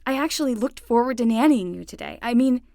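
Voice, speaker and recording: A woman playing a young, excitable summer nanny with a casual, rambling way of talking in a fiction podcast. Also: on the high side, fast talker, no room sound, studio quality. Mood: excited. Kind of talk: scripted fiction podcast acting.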